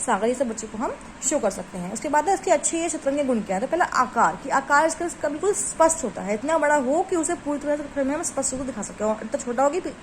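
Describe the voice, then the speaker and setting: A woman with a fairly high voice teaches a lesson, reading formal textbook lines and then explaining them again in everyday Hindi sprinkled with English words. A faint hiss sits under her voice. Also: lively intonation, fast talker, few pauses, rough recording. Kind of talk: explaining from study notes.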